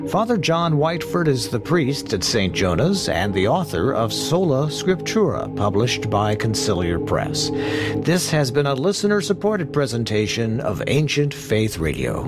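A man's voice: mid-range voice, very animated, normal speed. A man reading a formal scripted radio announcement. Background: loud music.

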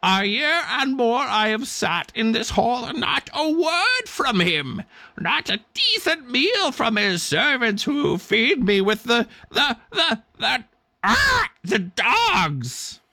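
Casting: a shrill, croaking, self-pitying raven, whining in grand storybook style, voice swooping widely. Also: male voice, wildly swooping pitch, slow pace, pushed and bright, no echo, full character voice. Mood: aggrieved. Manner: whining, melodramatic complaining.

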